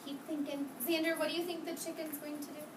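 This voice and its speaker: A woman's voice: sits high, level tone, fast, distant mic. A woman teaching kindergarten through an interactive read-aloud, asking questions and repeating answers back in a roomy, noisy classroom. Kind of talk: interactive read-aloud lesson for young children. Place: indoor classroom with young children.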